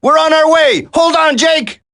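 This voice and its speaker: A man's voice: very high voice, measured pace, sharp tone, dry recording, studio quality, heavily acted. A man voicing an earnest special forces soldier in a video game, dramatic and urgent. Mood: businesslike. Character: earnest male special forces soldier, determined, worried for comrades.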